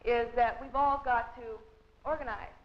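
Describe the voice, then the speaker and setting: A woman's voice: on the high side, fairly even intonation, normal speed. A young American woman, a student activist, addressing a group about organizing. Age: teens to young adult. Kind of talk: political speech to a group. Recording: roomy, dull old 16mm film sound.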